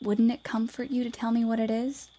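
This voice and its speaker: A woman's voice: fairly high pitch, level tone, fairly quick, warm tone, close to an everyday voice. A woman playing a spirited, restless young woman writer, blunt but caring, in a period audio play. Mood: tender, concerned.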